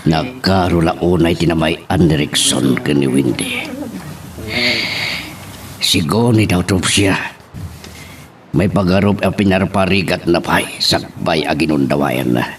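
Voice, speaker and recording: Man acting a deep-voiced, troubled Ilocano father. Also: measured pace, pauses often, a crowd in the background. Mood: grave remorse. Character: troubled, regretful father protective of his child. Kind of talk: Ilocano radio-style melodrama acting.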